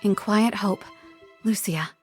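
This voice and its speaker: Woman as a gentle fantasy heroine over music. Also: mid-range voice, takes it slowly, lightly acted. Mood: hopeful. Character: gentle, hopeful young woman writing to an old friend. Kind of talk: fantasy game cutscene narration. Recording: studio voice over background music.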